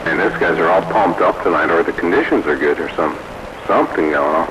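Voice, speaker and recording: A man's voice: deep voice, fairly quick. A man making casual banter as an operator over CB radio. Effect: CB radio transmission. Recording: very noisy CB radio reception.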